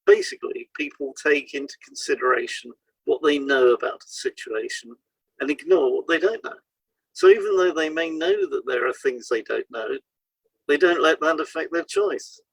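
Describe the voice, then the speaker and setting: A man co-hosting an educational podcast, reading scripted explanations in a measured, explanatory way. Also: fairly high pitch, lively intonation, slightly rough, dry recording, low-quality recording. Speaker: podcast co-host and hypnotherapist.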